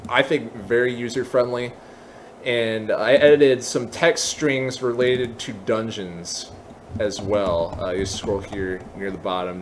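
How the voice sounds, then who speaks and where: A man presenting a software tutorial, explaining step by step in a semi-casual way with casual asides. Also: fairly low pitch, a little hiss or hum.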